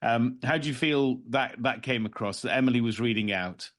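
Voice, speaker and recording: A man's voice: medium pitch, moderate pace. A man hosting a podcast discussion in a casual, conversational style. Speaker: podcast host and session moderator. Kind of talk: casual moderated discussion on a video call.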